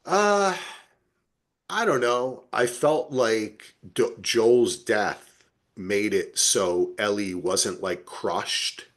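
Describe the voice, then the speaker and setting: A middle-aged American man from New Jersey chatting casually as a podcast co-host, telling things in an animated, profane way. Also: on the low side, drawn-out words. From New Jersey, United States. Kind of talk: casual TV recap chat.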